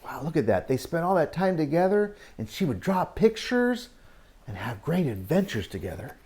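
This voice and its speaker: A man teaching children through story time and Bible talk, speaking in an animated storytelling style with dramatic pauses and questions to young listeners. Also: a little high.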